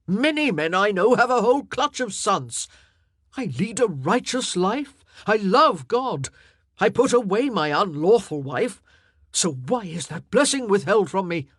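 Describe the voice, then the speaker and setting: A woman's voice: medium pitch, big pitch swings, a little slow, husky, no echo, clean studio sound, voice pushed up. A woman voicing a wrathful king in his forties. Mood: aggrieved. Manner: loud, commanding and wrathful. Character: fierce grieving man, a king in his forties.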